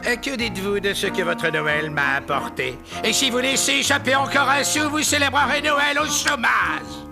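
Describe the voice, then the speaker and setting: An actor voicing a bitter, miserly old businessman in a French-language cartoon, gravelly and raspy, scornful and curt. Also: very high-pitched, lively intonation, over music, full character voice. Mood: angry. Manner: scornful, curt, drawn-out grumbling.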